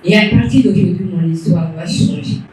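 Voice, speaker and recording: A woman's voice: lowish voice, moderate pace, background noise. A woman, giving a fervent, emphatic testimony at a meeting, repeating her declarations. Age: 50s. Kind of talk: emotional public testimony. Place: meeting hall. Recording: echoey hall from far off.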